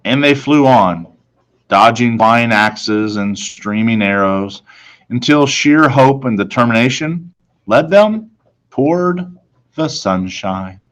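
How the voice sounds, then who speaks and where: A man reading a children's storybook aloud in a dramatic manner, with deliberate pauses. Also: medium pitch, lively intonation, a bit bright. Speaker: storybook reader.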